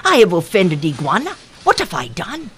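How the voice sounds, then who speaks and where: A woman's voice: on the low side, huge pitch swings, normal speed, slight background noise. A woman voicing a friendly python who frets anxiously and repeats himself, in a told folktale. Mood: frightened. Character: friendly python turned frightened and fretful.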